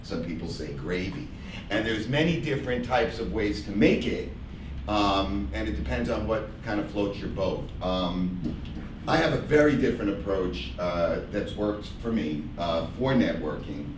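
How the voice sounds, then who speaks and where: A man speaking as a panelist at a business networking event, loud and animated, acting out examples. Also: fairly low pitch, unhurried, background noise. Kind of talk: panel discussion. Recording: echoey room, distant mic.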